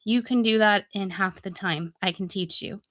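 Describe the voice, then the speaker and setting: Lady chatting on a livestream, bright voice thats starting to go from a cold, super dry close sound, folksy. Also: mid-range voice, normal speed, dry recording. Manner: informal, folksy, addressing viewers directly. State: getting sick, losing her voice. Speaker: online coach and live stream host. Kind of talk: casual live stream chat and sales pitch.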